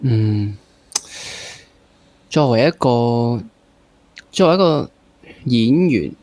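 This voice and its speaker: A man with a Hong Kong Cantonese accent interviewing casually, summing up and gently challenging with leading questions. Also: medium pitch, lively intonation, extremely slow. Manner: probing, summing up and playfully challenging the guest. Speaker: podcast interviewer.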